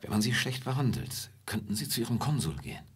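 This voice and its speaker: Husky, reserved gentleman. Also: medium pitch, animated, fast talker. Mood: restrained. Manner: restrained, matter-of-fact. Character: reserved European gentleman, calm and advising. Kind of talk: intimate radio drama dialogue.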